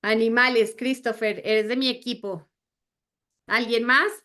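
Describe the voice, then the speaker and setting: A woman's voice: a little high, steady tone, a little slow, bright, forceful tone. A woman in her thirties from Argentina, leading an online sales training in a friendly, chatty way with many fillers. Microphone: video call.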